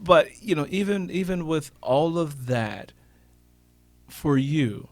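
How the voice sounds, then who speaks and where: A man with a fairly high voice talks motivationally as a guest on a radio show. He is emphatic and circles back on phrases to drive them home. The sound is dry and close. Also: animated, slow pace, dry recording. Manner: emphatic, repeats phrases for effect. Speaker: interview guest.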